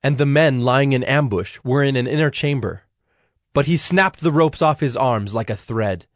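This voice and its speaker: A man narrating dramatized scripture in a measured storytelling manner. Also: mid-range voice, dry recording. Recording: dry studio with music underneath. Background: music.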